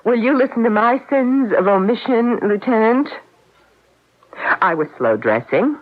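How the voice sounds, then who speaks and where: Casting: high-voiced, anguished, self-blaming woman, halting delivery, vintage radio drama with tinny sound. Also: animated. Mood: self-accusing. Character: guilt-stricken married woman, stage actress grieving her friend. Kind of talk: old-time radio drama acting.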